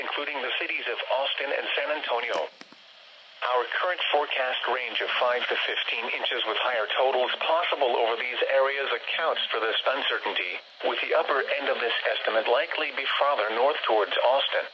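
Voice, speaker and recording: A synthetic male voice reading a formal, measured, impersonal scripted weather bulletin as an announcer over the radio. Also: mid-range voice. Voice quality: synthetic text-to-speech voice. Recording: noisy weather radio broadcast.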